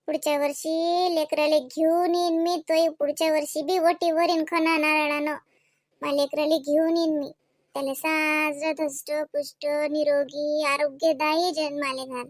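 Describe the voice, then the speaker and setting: A woman's voice: sits high, flat delivery, unhurried, dry recording. A woman voicing an anxious, devout young village wife in a comedy cartoon, homely in manner, with a Varhadi Marathi accent. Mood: pleading. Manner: pleading, homely, chatty.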